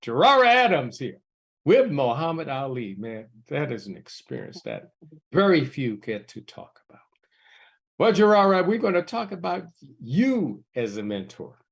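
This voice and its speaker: Gravelly, animated male talk show host. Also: fairly high pitch, moderate pace. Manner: enthusiastic, effusive praise. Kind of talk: interview hosting.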